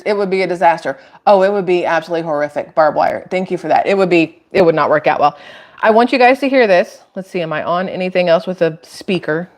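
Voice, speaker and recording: A woman's voice: mid-range voice, darkish tone. An American woman hosts a casual live stream in an everyday mid-range voice. She chats straight to viewers about politics, wandering off and coming back with emphatic asides. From Atlanta, United States. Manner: chatty, rambling, emphatic asides.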